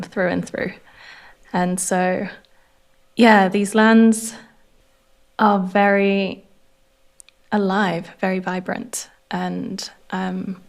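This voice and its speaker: A young adult woman from Indonesia speaking as a guest over a remote call, in a thoughtful, unhurried way with frequent pauses. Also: medium pitch, vocal fry. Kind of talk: reflective conversation.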